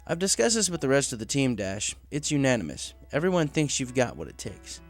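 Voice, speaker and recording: An encouraging male pony boss from an audio storybook, acted out by a man. He sounds assured and casual, with a very lively intonation over soft music. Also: mid-range voice, fairly quick.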